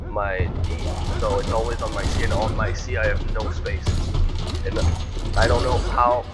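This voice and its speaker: A man whose first language is not English, giving rambling, excitable commentary on his own gameplay and swearing often. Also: lowish voice, normal speed. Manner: excitable, swears often, yelps when hit. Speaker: gamer and video creator. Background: loud music and game gunfire.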